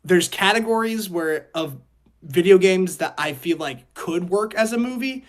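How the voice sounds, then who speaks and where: High-voiced man chatting on a podcast. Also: lively intonation, unhurried. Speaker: podcast co-host. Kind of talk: casual podcast discussion.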